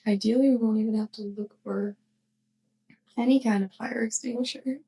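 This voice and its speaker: A woman playing a sweet, clumsy young witch neighbor in an intimate ASMR roleplay, speaking softly and hesitantly with shy affection. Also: fairly high pitch, fairly even intonation, moderate pace, low-quality recording. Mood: playful, reassuring. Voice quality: soft, breathy. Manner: hesitant, giggly rambling.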